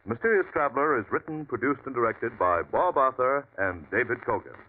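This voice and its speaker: Vintage male radio announcer with lively intonation. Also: mid-range voice. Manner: formal, measured announcer delivery. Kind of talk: scripted announcing. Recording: hissy, tinny old radio broadcast.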